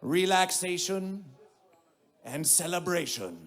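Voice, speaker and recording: A man working as a festival emcee, declaiming grandiose mock-prophetic hype from the stage in a drawn-out, theatrical way. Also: high voice, expressive.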